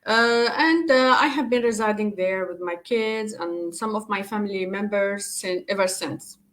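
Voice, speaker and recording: A woman's voice: medium pitch, moderate pace, a bit bright. A woman from Syria with a Syrian Arabic accent, a life coach telling her story in an interview over a video call, hesitant with many fillers and restarts.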